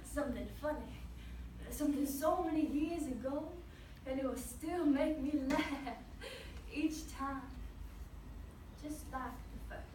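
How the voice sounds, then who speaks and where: A woman's voice: high-pitched, a little slow, pauses often. A woman performing a dramatic monologue as a devoted, grieving mother, telling her memories in a solemn, tender way. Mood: wistful. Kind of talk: competitive dramatic interpretation monologue. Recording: roomy, distant mic, faint noise.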